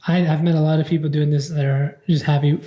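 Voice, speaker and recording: A man's voice: on the high side, level tone, fast, mellow. A man hosting a podcast from his parents' house, talking in an easygoing way and repeating short phrases. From Texas. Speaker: podcast host. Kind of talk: casual podcast chat.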